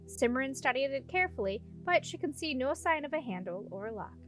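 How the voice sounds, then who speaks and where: A woman with a high-pitched voice narrates a children's fantasy audiobook. She reads from a script in storybook style, with faint music underneath. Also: sing-song, fairly quick, fairly bright tone. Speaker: audiobook reader.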